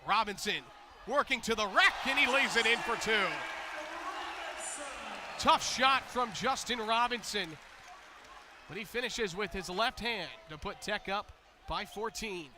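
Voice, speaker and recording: An American man doing energetic live basketball play-by-play commentary, building to excited calls on big plays. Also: high-pitched, a little slow, pauses often, crowd noise, background noise.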